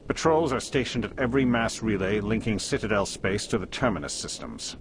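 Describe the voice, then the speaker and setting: An actor playing a dry, formal alien councillor in a sci-fi video game. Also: male voice, fairly low pitch, expressive, a little fast, a little hiss or hum, lightly acted. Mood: dismissive.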